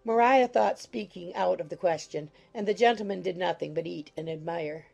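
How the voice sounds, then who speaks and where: A woman's voice: lowish voice, moderate pace, creaky, a little mellow, soft background music. A woman reading scripted literary narration for a dramatized audiobook.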